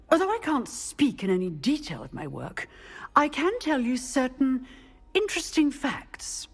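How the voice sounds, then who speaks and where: Composed, husky-voiced witch professor. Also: fairly high pitch, heavily acted. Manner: formal, measured, philosophical. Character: composed, wistful, injured female former ministry agent turned professor. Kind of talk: scripted game dialogue. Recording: long hall reverb.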